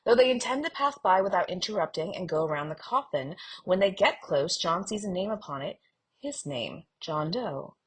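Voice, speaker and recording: A woman co-hosting a fan podcast, talking casually in an expressive, theatrical manner. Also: on the low side, moderate pace, husky. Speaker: podcast co-host.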